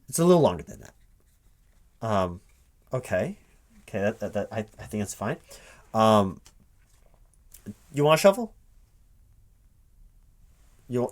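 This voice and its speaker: A man who stammers and repeats words hesitantly, speaking aloud as a recruiting manager in a one-sided ASMR roleplay. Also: fairly low pitch. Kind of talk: one-sided interview roleplay, soft-spoken ASMR at normal voice.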